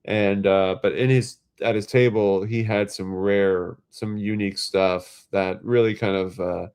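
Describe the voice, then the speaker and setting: A man's voice: lowish voice, fairly even intonation, darkish tone, clean tone. A man hosts a casual livestream. He sounds stuffed up and nasal from a head cold. He rambles and restarts his sentences, with plenty of ums and uhs. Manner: rambling, halting, many fillers and restarts. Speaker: livestream host.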